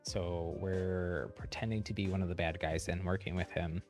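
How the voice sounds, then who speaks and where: A man's voice: low voice, fairly even intonation, moderate pace, darkish tone, soft background music. A man livestreaming a video game with casual, chatty, informal commentary. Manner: informal, often chuckles between remarks.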